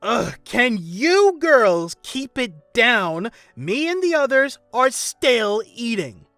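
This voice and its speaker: A woman's voice: a little high, very animated, slow, fairly bright tone, no echo, soft background music, voice pushed up, heavily acted. A man voicing an irritable, entitled middle-aged woman who whines and complains. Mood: annoyed. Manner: whiny, complaining.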